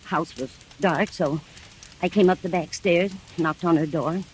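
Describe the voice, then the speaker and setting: An actress plays an anxious woman caregiver in an old radio mystery. She testifies earnestly and deliberately, ready to accuse, over a faint layer of background noise. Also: fairly low pitch, darkish tone, close to an everyday voice. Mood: grave. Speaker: witness in a mystery drama.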